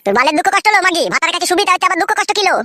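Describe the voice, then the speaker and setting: Cartoon young husband , male actor: high voice, breakneck delivery, coarse, whiny, hot-tempered. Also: rapid-fire, heavy background noise. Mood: angry, frustrated. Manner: coarse, swearing, badgering. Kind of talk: crude comedy cartoon dialogue.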